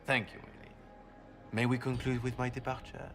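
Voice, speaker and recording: An actor playing a courteous, composed assassin posing as a nobleman in a video game, speaking formally and in measured phrases. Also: medium pitch, completely flat delivery, faint music behind, close to an everyday voice. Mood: courteous farewell. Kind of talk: video game cutscene acting.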